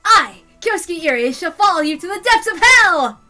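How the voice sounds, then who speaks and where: A woman's voice: extremely high pitch, very animated, normal speed, sharp tone, exaggerated voice. A woman voicing a pompous, earnest male coach from an anime visual novel, declaiming grandly. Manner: grandly declamatory, self-important. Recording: music behind the voice.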